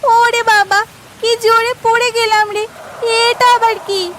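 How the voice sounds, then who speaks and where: A woman's voice: extremely high pitch, little pitch movement, takes it slowly. A woman voicing a timid, anxious little village girl in a cartoon. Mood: startled. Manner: anxious, childlike. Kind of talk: cartoon voice acting. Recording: clear music behind the voice.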